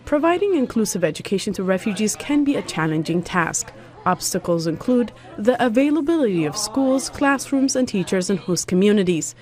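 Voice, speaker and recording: A woman's voice: mid-range voice, very animated, brisk, a little mellow. A woman narrating a scripted news report.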